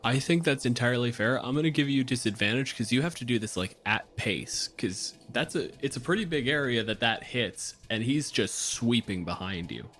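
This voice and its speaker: A man's voice: medium pitch, animated, normal speed, few pauses, a bit bright. A man acting as game master, casually giving rulings and describing scenes for a tabletop game.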